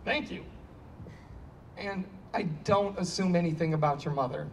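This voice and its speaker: A man's voice: high-pitched, moderate pace. Actor as defensive, fumbling man, wry and hesitant, outdoor staged reading with distant, slightly noisy sound. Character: awkward, defensive older man fumbling apologies. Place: outdoor stage against a block wall. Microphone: handheld stage microphone, heard from afar. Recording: distant handheld mic, noticeable background noise.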